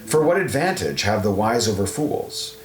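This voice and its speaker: A man's voice: fairly low pitch, big pitch swings, normal speed. Man reading scripture in a roomy echo. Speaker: audiobook narrator. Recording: roomy echo, music underneath.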